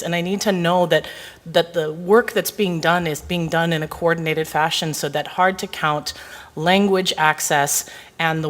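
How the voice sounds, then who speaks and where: A woman whose first language is Hawaiian, a committee member pressing an urgent, earnest question at a meeting in long winding sentences. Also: lowish voice. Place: meeting room.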